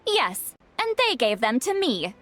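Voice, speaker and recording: Confident young sage in a game, high lively voice, no-nonsense. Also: female voice, unhurried, soft background music, full character voice. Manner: matter-of-fact and confident. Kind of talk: video game voice acting.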